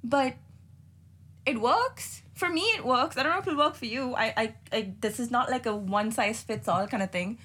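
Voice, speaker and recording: A woman's voice: on the high side, lively intonation, normal speed, a bit bright, husky. A young woman casually giving candid, self-mocking advice as a guest, with frequent fillers and restarts.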